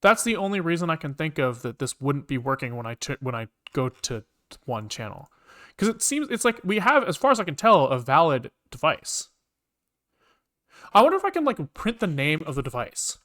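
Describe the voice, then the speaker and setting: A man casually live-coding on a stream, thinking aloud in halting, muttered bits as he works. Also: on the high side, sing-song, bright, forceful tone. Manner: halting, muttering to himself while working. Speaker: programming livestreamer.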